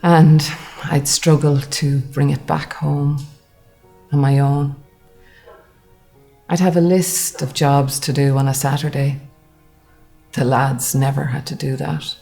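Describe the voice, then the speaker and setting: An actress playing a wounded older woman recalling a harsh childhood in a halting, sombre testimony. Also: low-pitched, level tone, vocal fry, mellow, faint music behind, heavily acted. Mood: sombre, hurt. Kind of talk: dramatised first-person testimony monologue.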